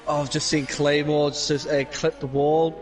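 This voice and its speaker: A man with a Scouse accent co-commentating on a sim race, calmly explaining racing lines, lap times and qualifying rules. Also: a little high, a little slow.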